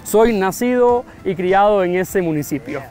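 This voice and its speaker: A man's voice: high voice, animated, unhurried, a little mellow, faint music behind. A man from Cuba with a Cuban accent, talking in a casual street interview and often repeating his phrases as he thinks. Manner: talkative, thoughtful, repeats phrases. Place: outdoors on a city street.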